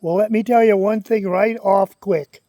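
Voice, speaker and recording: Guy rambling on, kinda high voice, dry close sound. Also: steady tone, moderate pace, dark tone, dry recording. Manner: rambling, conversational, stops to read aloud. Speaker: channel host. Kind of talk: casual talk to camera, reading aloud.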